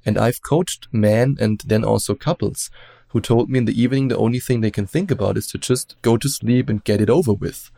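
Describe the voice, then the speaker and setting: A man with a German accent, a relationship coach giving measured advice as an interview guest, often hedging with "let's say". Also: lowish voice, gravelly, mellow, no echo. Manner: explanatory, measured, hedges with "let's say".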